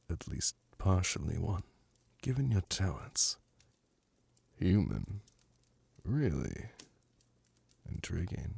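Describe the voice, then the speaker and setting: A man voicing a suave, flirtatious, lordly demon prince in an ASMR roleplay, purring teasing endearments in a languid, imperious manner with a gravelly, breathy voice. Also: low-pitched, a little mellow, no echo, exaggerated voice. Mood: intrigued. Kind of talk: ASMR roleplay audio drama. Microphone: close, dry microphone.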